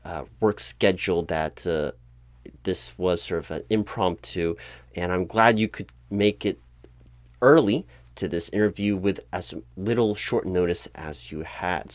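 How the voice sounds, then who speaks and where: A man acting as a corporate recruiting manager with a lowish voice, recorded close and dry. He is polite and bureaucratic, a little flustered, halting and repeating himself as he patiently over-explains in a one-sided ASMR interview roleplay, spoken aloud. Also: no room sound, natural voice. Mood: polite, welcoming.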